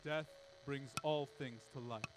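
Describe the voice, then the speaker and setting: A man playing a wry, lofty embodiment of death in a radio drama, making grand, measured pronouncements with sardonic jabs. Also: medium pitch, background noise, natural voice. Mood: solemn musing. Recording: voice under prominent music.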